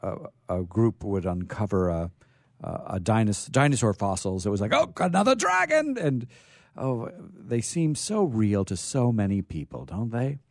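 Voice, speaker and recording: Male radio host, storyteller, lowish pitch, very animated intonation, halting, theatrical, close dry sound. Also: no room sound. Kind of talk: informal radio storytelling, reading aloud.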